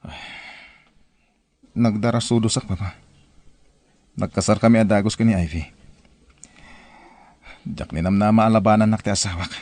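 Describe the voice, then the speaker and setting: A man's voice: fairly low pitch, steady tone, normal speed, long pauses, darkish tone, natural voice. A man playing a proud, stubborn young husband with an Ilocano accent in a family radio drama, talking defiantly. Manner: defiant, scoffing.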